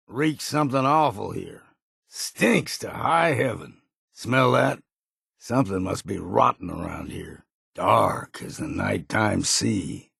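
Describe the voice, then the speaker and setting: An actor playing a gruff, weary old hunter in a video game, grumbling and wary in a folksy, plainspoken rustic drawl. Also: male voice, mid-range voice, no room sound, studio quality, full character voice. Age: older. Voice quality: gravelly, husky. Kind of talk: video game character voice lines.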